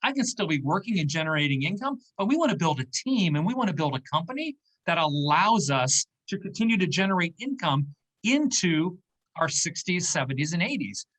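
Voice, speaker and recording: A man's voice: a little high, sing-song, brisk, a bit bright, low-quality recording. A man in his fifties, a business owner speaking as a guest in an interview, explaining in a conversational way and checking in with "okay?" and "right?". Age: 50s.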